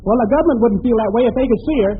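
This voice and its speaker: A man's voice: very high-pitched, rapid-fire. A man bantering as a cast member in a 1930s radio comedy, on an old broadcast. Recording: narrow-band noisy old radio broadcast.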